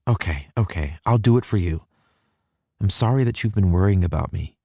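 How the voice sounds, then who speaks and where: A man's voice: low-pitched, moderate pace, mellow, slightly rough, no room sound, clean studio sound. A man voicing a flustered, teasing young woman who is feeling sick, in an audiobook story. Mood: apologetic, soft.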